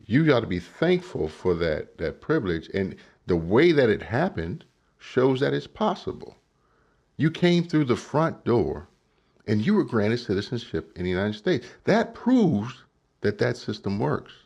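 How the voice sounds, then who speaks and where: A man's voice: mid-range voice, very animated, a little slow, gravelly, darkish tone. A man giving emphatic political commentary on a clip, often asking "right?" to make his point. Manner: emphatic, asks rhetorical questions, says "right?" often.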